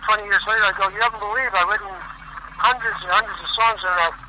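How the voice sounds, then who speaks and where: A middle-aged man, a musician who grew up in California after being born in Germany, chatting as a radio guest, rambling and halting with many fillers. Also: high-pitched, fairly even intonation, sharp tone, a little hiss or hum, poor audio. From Germany, raised in California. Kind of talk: casual radio interview.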